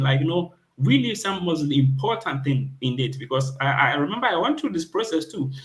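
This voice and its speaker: A man's voice: fairly high pitch, poor audio. A man hosting a casual chat, jumping in with asides and quoting people's excuses. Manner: chatty, interjects with asides and quoted examples.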